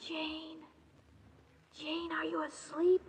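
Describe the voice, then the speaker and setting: Sweet, dopey young female, 1940s radio sitcom. Childlike, slow delivery, extremely high voice, muffled noisy recording. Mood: sleepy, plaintive. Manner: childlike, earnest, deadpan innocence. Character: dim-witted, sweet, naive young woman, childlike and earnest. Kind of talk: 1940s radio comedy acting. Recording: muffled old radio recording, clear hiss.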